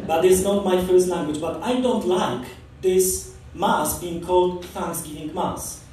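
A Polish-accented priest in his forties preaches in a relaxed, storytelling way, his voice warm and somewhat high. He sounds distant from the mic, with the boom of a large church around him and a faint hiss underneath. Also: unhurried. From Poland. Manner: chatty and anecdotal, laughing often. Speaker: priest giving the homily. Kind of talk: informal homily. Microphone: far camera across the church. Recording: echoey church from far off.

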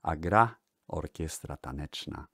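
A man presenting music history in a radio style, speaking deliberately with pauses and hesitations. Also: very deep voice, lively intonation, vocal fry, pushed and bright, dry recording.

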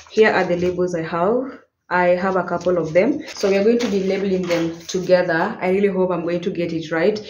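A young woman with a Kenyan accent, talking in a low voice in a loose, friendly vlog chat, sprinkling Swahili words into her English. She speaks to a camera on a stand in a small apartment. The bare room rings with echo and a faint hiss sits underneath. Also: darkish tone, muffled recording. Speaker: home vlogger. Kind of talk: casual chatty vlog.

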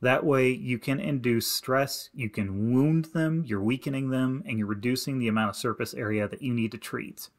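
A man narrating a slideshow lesson as an extension educator, giving plain, practical advice in a steady instructive way. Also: mid-range voice, moderate pace, few pauses. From Indiana. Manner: plain, practical and advising, with many "you need to" instructions. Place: voice-over to slides.

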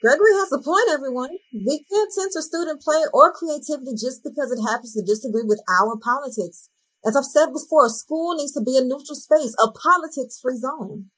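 A woman playing a measured, rule-minded school principal in a scripted dramatization. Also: high-pitched, fairly bright tone, poor audio. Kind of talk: scripted dramatization on video call. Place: home room, video call.

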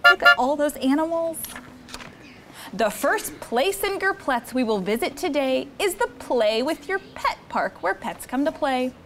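A young woman hosting story time, reading a picture book aloud to children in an expressive, encouraging way. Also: high voice, slight background noise. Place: studio set with seated children.

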